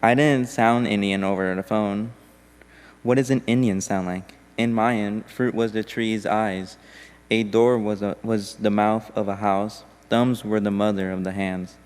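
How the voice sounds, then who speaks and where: Low, resonant man in a reverberant church. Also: smooth. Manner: steady, deliberate recitation. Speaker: congregation member reading a poem. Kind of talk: measured poetry reading in a church service. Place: church sanctuary. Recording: long hall reverb.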